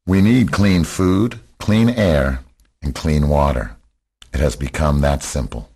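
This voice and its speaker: A hard rebel leader, played by a man in a radio drama. The voice is extremely deep and husky, with solemn, grim declarations. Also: takes it slowly, darkish tone, exaggerated voice.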